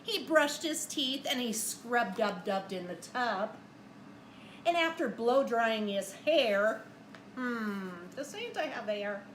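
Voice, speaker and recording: Animated, high-voiced woman reading to young children. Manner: animated, deliberate, simple questions and prompts for young listeners. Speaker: teacher reading a storybook aloud to children. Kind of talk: expressive storybook read-aloud with asides to young children. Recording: echoey room with faint background noise.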